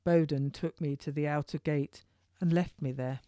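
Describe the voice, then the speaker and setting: Low-pitched woman narrating, dry sound. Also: fairly even intonation, moderate pace, dark tone, dry recording. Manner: informal read-aloud with occasional stumbles. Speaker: author reading own novel. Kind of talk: first-person historical audiobook narration.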